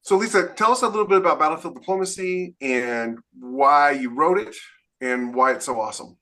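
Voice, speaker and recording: Bright, forward-voiced male podcast host. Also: on the high side, fairly quick. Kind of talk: casual podcast chat.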